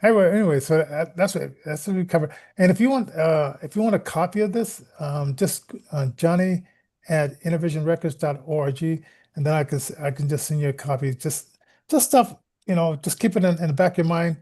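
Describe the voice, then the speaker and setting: A man's voice: a little high, brisk, mellow. A man hosting a casual, unscripted show, talking in an informal, rambling way. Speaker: podcast host.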